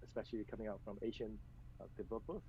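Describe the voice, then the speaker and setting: A man from Malaysia, answering interview questions over a video call with hesitant pauses. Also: fairly low pitch, steady tone, dark tone, dull audio, slight background noise. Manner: hesitant, many filler pauses. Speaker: game company director.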